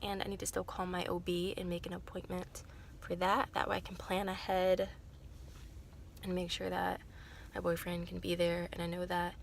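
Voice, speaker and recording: Congested, nasal young woman with a gravelly voice. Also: on the low side, completely flat delivery, moderate pace, slight background noise. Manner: rambling, filler-heavy, self-correcting. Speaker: vlogger. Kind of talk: casual chat to camera.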